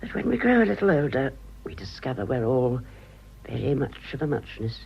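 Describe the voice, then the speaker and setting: A woman's voice: rumbling low, big pitch swings, brisk, creaky, slightly rough, dull audio, exaggerated voice. An actress playing a worldly, rueful older mother-in-law, pleading with emotional, hesitant delivery in a radio drama. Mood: bitter, earnest.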